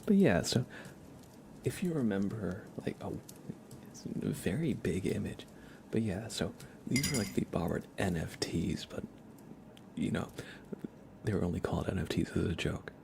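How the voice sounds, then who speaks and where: A man hosting an ASMR livestream, chatting casually and haltingly in a soft, hushed voice that drifts into whispering. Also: low voice, creaky. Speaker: livestream host. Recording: steady background noise with keyboard typing.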